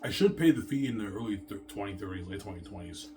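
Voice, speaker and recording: A man's voice: on the low side, lively intonation, quick pace, music in the background. A man in his thirties rambling to his viewers in a self-assured, repetitive way, often restarting his sentences. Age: 30s. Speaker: vlogger.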